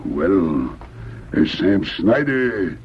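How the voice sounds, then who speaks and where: An actor playing a simple, slow-thinking frontier miner in old-time radio drama, husky, with hesitant folksy talk. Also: male voice, sits low, sing-song, a little hiss or hum, full character voice. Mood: pondering, earnest. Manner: hesitant, folksy rustic grammar. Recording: muffled old radio transcription.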